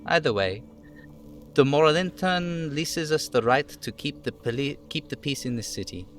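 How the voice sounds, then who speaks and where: A man voicing a reserved, dutiful police lieutenant from a game, speaking in a measured, formal way. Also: on the high side, expressive, voice pushed up, lightly acted. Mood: matter-of-fact. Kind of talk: dramatic reading of game dialogue.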